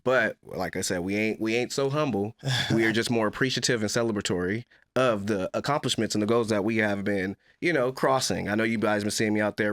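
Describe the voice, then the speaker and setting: A man's voice: lowish voice, no echo, clean studio sound. A man hosting a casual interview podcast, giving upbeat hype-style introductions with some slang. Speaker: podcast host.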